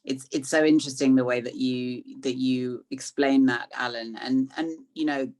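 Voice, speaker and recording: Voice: medium pitch, steady tone, moderate pace, darkish tone. An interviewer asking conversational, wordy questions with effusive, hesitant phrasing.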